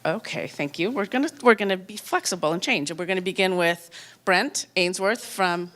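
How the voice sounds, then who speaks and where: A woman's voice: fairly low pitch, normal speed, a bit bright. A woman hosting a community forum, welcoming the audience and introducing the first speaker in a friendly, slightly scattered way. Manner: friendly, a bit scattered, laughs at her own slip.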